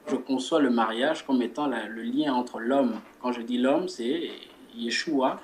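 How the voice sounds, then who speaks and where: A man, a guest who speaks in a measured way and leads a spoken prayer. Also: medium pitch, a little hiss or hum. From Democratic Republic of the Congo. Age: 20s. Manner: measured, repeats words while searching for phrasing. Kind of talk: religious interview and spoken prayer.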